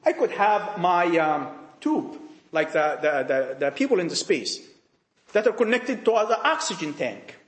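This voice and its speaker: Impassioned preacher with high lively voice. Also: male voice, unhurried. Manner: emphatic, repetitive, rhetorical questions, dramatic drops in volume. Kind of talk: sermon to young people. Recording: echoey room.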